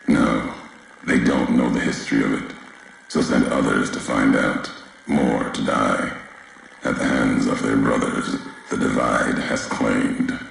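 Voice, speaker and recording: An actor playing a brooding, vengeful wasteland courier in a video game, speaking gravely in slow, cryptic, clipped fragments with a gravelly, very deep voice. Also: male voice, heavily acted. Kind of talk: video game character dialogue. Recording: heavily echoing, faint background noise.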